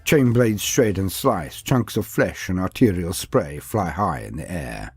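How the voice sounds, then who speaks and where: A man's voice: low-pitched, a little mellow, husky, dry recording. A man reading scripted fantasy battle lore as a narrator, with a grave, theatrical delivery. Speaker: narrator of a fantasy war-game lore video. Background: music.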